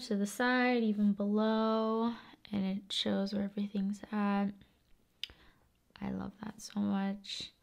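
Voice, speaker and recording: A woman talks softly in a casual ASMR style at a very unhurried pace. Her voice sits at an average pitch and often drops into a whisper. She rambles gently, leaving space between phrases. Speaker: ASMR video host.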